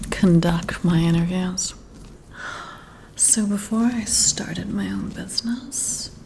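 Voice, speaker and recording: Woman playing a slow, whispering flirty boss. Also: mid-range voice, a little mellow. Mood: coy, admiring. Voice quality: breathy whisper. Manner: teasing, coy, deliberate, drawn-out phrasing. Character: flirty, confident female boss and former psychiatrist interviewing. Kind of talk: whispered ASMR roleplay.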